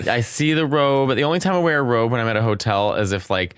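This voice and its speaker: A man who does stand-up, guesting on a comedy chat and bantering with quick, playful teasing. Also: mid-range voice, smooth, no room sound.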